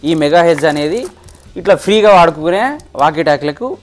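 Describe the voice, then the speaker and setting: A man's voice: fairly high pitch, expressive, moderate pace. A man with a Telangana Telugu accent chatting casually as a vlogger, explaining step by step with examples to his friends. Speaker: vlogger demonstrating a gadget.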